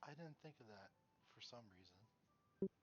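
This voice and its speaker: A performer reading the part of a shy, polite teenage girl in a casual staged reading, speaking hesitantly with halting fillers. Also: mid-range voice, lively intonation, muffled recording, natural voice. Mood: timid polite. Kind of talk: casual script read-aloud.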